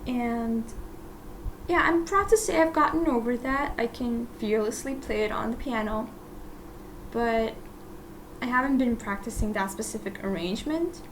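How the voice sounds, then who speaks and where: A woman whose first language is Russian, hosting a casual solo podcast, rambling and self-deprecating with soft laughs and plenty of "like" fillers. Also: a little high, normal speed, a little hiss or hum, low-quality recording. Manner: chatty, full of "like" fillers and restarts. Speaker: podcast host.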